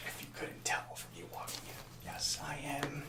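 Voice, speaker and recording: A man playing a nice, easily hurt assistant to a gang leader in an ASMR roleplay, speaking softly with hesitant, placating stammers. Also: on the high side, fairly even intonation, normal speed, roomy sound, close to an everyday voice. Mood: instructive, earnest.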